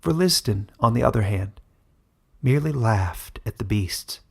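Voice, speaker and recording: A man reads dramatic fantasy fiction as its storyteller. His mid-pitched voice is husky and creaky, suited to scripted narration. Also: animated, unhurried, a little mellow.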